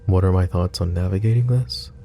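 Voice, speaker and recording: Male actor as composed mafia boss, low-pitched, dark soft timbre, measured delivery, intimate ASMR roleplay, music underneath. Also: creaky. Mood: serious, frank.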